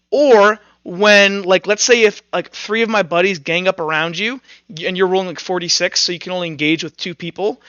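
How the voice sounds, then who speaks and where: A man running a tabletop roleplaying game as game master, narrating and explaining rules informally, thinking out loud as he goes. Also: sits high, clean tone, dry recording, muffled recording.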